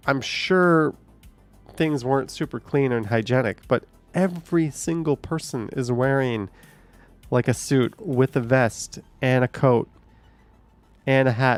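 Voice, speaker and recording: Male stream host, mid-pitched with a gravelly edge, loose off-the-cuff commentary. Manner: chatty, thinks aloud, swears casually. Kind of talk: casual live stream commentary.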